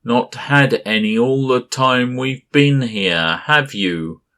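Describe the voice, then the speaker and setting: A man voicing a giant gaseous male creature who mocks and menaces in a slow, leering drawl. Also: medium pitch, fairly bright tone, pitched above the performer's own voice. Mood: mocking. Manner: taunting, drawn-out leering delivery.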